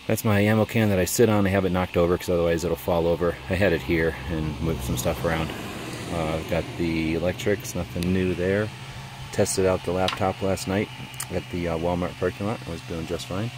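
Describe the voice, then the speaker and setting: A relaxed man with a very deep, low voice ambles around his van, pointing things out with plenty of uh fillers. The handheld camera picks him up outdoors, and clear background noise sits under his speech. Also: a little fast. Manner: relaxed, rambling, frequent uh fillers. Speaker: vlogger. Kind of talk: casual walkaround van tour. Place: outdoors in a mountaintop parking lot beside a van. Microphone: handheld action camera.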